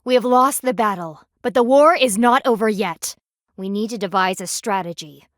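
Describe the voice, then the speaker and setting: A woman's voice: medium pitch, normal speed, no echo, studio quality, close to an everyday voice. A woman voicing a stern, proud panda warrior in a video game, with a formal, commanding, clipped manner. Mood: stern, businesslike. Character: stern, proud anthropomorphic panda woman, loyal military guardian.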